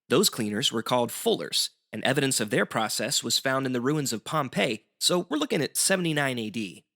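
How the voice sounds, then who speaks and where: A man's voice: mid-range voice, vocal fry, sharp tone. A man as a guest explaining history in semi-scripted educational banter, articulate and wry.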